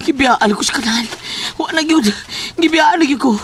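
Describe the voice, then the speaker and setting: Casting: male actor as excitable, high-voiced whiner, a comic coward for horror audio drama, on a noisy homemade track. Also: normal speed. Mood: aggrieved. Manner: whining, exclamatory, excitable.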